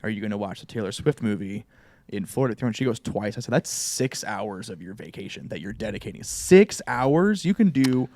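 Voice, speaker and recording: A man's voice: on the low side, animated, fairly quick, creaky, a little mellow, dry recording. Dry-miked man telling jokey stories. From Missouri, USA. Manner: joking, storytelling with asides. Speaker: podcast co-host. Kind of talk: casual chat.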